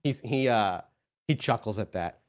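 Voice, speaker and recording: A man improvising tabletop game narration as game master, in a halting, descriptive storytelling manner. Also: lowish voice, animated.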